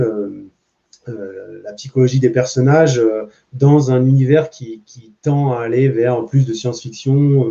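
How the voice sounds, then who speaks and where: A man's voice: medium pitch, dark tone. A man with a French accent talking casually as a comics artist on a panel, informal and hesitant as he searches for words. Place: public panel at a comics festival. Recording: muffled, dull recording.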